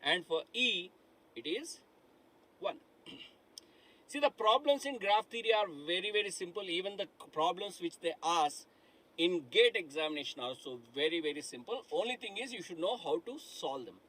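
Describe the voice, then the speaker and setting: A man's voice: sits high, expressive, moderate pace, fairly bright tone, low-quality recording. A middle-aged man teaching a live online lecture, who repeats himself, asks the class questions and keeps calling them "my dear friends". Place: studio in front of a dark digital board.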